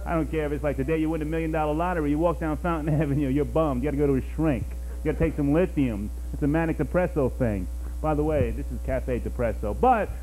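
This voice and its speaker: A man's voice: on the high side, fast, dark tone, a little hiss or hum, rough recording. A man from New York doing a rambling, self-mocking stand-up routine, talking to the crowd on a small cafe stage. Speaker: stand-up comedian.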